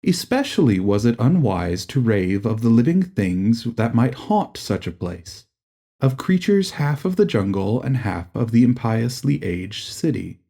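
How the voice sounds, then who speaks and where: A man narrating a gothic horror audiobook in a measured, dramatic literary delivery. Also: mid-range voice, big pitch swings, mellow.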